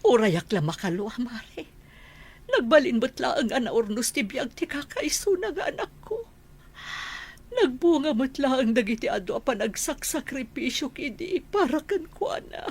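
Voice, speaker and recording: A woman's voice: sits high, big pitch swings, normal speed, darkish tone, breathy edge, heavily acted. A woman with an Ilocano accent playing a loving, self-sacrificing older mother in a radio drama. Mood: moved, contented. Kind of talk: Filipino radio drama acting.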